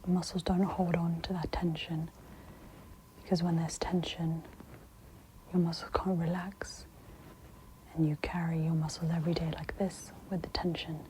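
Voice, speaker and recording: A woman hosts a guided ASMR meditation in a soft, breathy whisper. Her delivery is very slow and soothing, with a dark, mellow tone. A faint noise sits behind the close recording. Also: fairly low pitch, low-quality recording. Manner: soft, gentle, soothing instruction.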